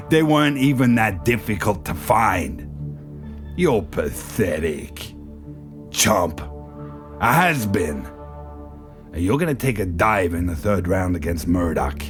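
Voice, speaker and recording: A smug crime boss from an audio drama. He speaks slowly in a low voice that swings widely as he taunts. The menace is drawn out and contemptuous, with music underneath. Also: very animated, heavily acted. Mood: taunting, menacing.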